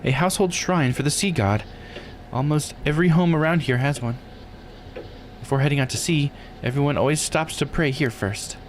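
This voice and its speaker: A man's voice: on the high side, normal speed, slight background noise. A man live-streaming a visual novel, reading text aloud and musing casually as he plays. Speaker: game streamer.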